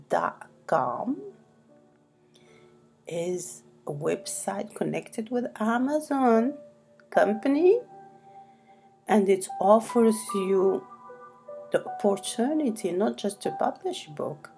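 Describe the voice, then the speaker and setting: A woman's voice: medium pitch, gravelly, dark tone. A woman from Morocco whose first language is Arabic, speaking English in a rambling, unscripted tutorial full of restarts and fillers. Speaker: self-publishing tutorial host.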